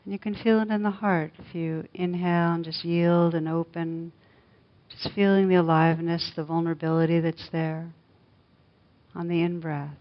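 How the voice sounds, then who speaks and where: A woman leading a guided meditation, speaking slowly and softly with long pauses. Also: on the low side, flat delivery. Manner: slow, soft, gentle guidance with long pauses. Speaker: meditation teacher. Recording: dull lo-fi recording.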